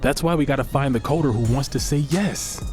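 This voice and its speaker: An actor playing a brash, impatient schemer in a podcast drama, blunt, slangy and sarcastic. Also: male voice, medium pitch, a little fast, darkish tone, faint music behind, close to an everyday voice. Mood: scheming. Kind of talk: naturalistic fiction podcast acting.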